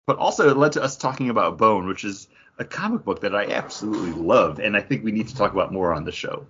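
A man's voice: fairly low pitch, lively intonation, fast talker, a bit bright, highs cut off, slight background noise. A man hosting a casual geek-news discussion, speaking in an opinionated, conversational way. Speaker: podcast host.

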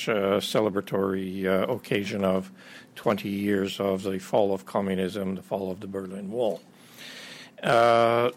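A middle-aged man speaking at a conference, born in Ukraine and raised in Canada. He stops and restarts often and fills his pauses as he works through his points. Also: lowish voice. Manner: halting, many filler pauses and restarts. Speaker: invited speaker. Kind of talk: conference lecture.

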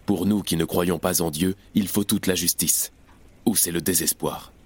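Actor for austere, unbending male revolutionary in a radio play; deep husky voice, grave delivery. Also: fairly even intonation, moderate pace. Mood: grim, reflective. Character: harsh, unbelieving, hard-line male revolutionary. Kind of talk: audio drama acting of a stage play.

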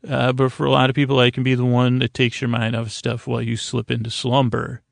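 A man hosting a sleep podcast, improvising a meandering, halting monologue that repeats itself and trails off, in a creaky, gravelly voice. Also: medium pitch, completely flat delivery, no echo, clean studio sound. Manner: meandering, halting, repetitive, trails off mid-sentence. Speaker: podcast host.

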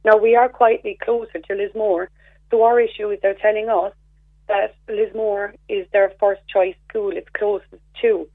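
A hoarse woman calling in to a radio show, methodically explaining a problem on behalf of a group of parents. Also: medium pitch, flat delivery, normal speed, no echo. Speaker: caller, parents' representative. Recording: phone line.

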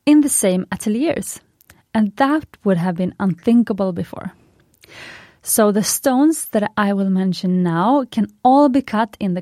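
A woman's voice: medium pitch, mellow, no room sound. Woman, Swedish native speaker, podcast host, enthusiastic, punny, dry close sound. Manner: chatty, enthusiastic, fond of puns. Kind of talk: scripted podcast monologue.

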